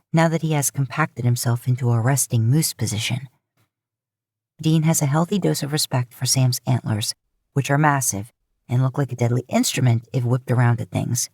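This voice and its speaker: A woman's voice: sits low, a little mellow, no room sound, studio quality. A woman reading a piece of fiction aloud as a podfic narrator, in scripted storytelling style.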